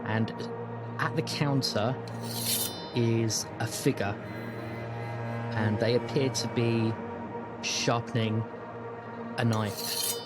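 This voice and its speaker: A British man narrating as game master of a tabletop game, measured and slowing for suspense. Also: medium pitch, steady tone. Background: loud music.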